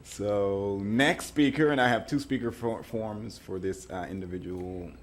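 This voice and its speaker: A man's voice: on the low side, a little slow. A man chairing a council meeting, calling speakers forward in a polite, lightly joking manner.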